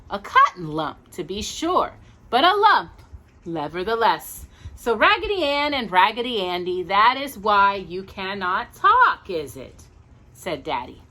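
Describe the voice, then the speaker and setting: A woman reads a children's storybook aloud in a bright, very animated voice. She takes her time with an unhurried pace, adding expressive, cooing asides for young listeners as a storytime host. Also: medium pitch.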